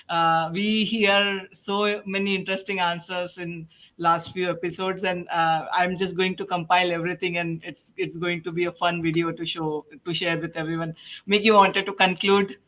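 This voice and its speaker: A woman hosting a panel discussion as a podcast host, putting questions to guests in halting, hesitant phrases. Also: lowish voice, bright, forceful tone, low-quality recording.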